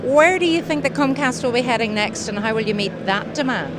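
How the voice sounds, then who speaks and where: A woman interviewing a guest on a trade show floor, asking brisk, friendly questions. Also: fairly high pitch, a crowd in the background.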